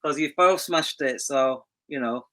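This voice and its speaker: A man, chatting casually as a podcast guest in a relaxed, dry way. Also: mid-range voice, a little slow, crowd noise. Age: 50s. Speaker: podcast guest, graphic designer. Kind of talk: casual chat.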